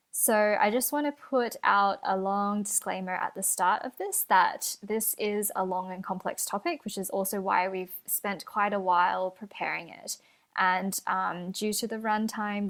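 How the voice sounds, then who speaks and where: A young woman co-hosts a casual podcast at a middle pitch. She talks in a careful, thoughtful way, softening her points with fillers and qualifiers. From Australia. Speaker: podcast co-host.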